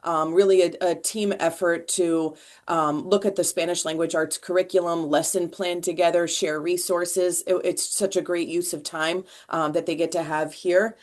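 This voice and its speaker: A woman presenting at a school committee meeting, measured and pausing often with fillers. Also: fairly low pitch, fairly even intonation. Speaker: multilingual learner department staff presenter.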